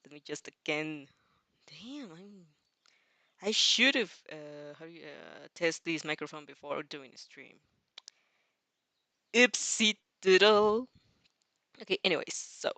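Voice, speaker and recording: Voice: mid-range voice, lively intonation, takes it slowly, long pauses, bright, forceful tone, no echo. Giggly young streamer, close dry sound. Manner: giggly, playful, rambling with self-interruptions. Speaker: host of a fan fiction reading stream. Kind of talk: casual livestream chat and dramatic read-along reaction.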